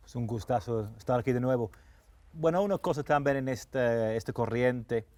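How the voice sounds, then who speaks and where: A man's voice: mid-range voice, moderate pace, darkish tone, no echo. A man from Britain, a native English speaker and journalist guest, talking casually and searching for words. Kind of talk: panel discussion.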